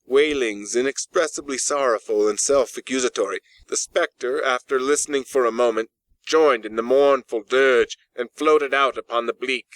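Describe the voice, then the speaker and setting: Bright, forceful male storyteller. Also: mid-range voice, dry recording, poor audio. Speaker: audiobook narrator. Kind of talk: scripted dramatic story narration. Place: close, dry in-house recording.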